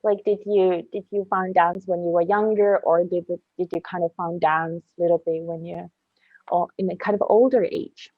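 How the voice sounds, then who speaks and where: A woman's voice: on the low side, fairly even intonation, moderate pace, no echo, phone-quality sound. A woman hosting a casual podcast interview, speaking in an enthusiastic, rambling way. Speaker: podcast host.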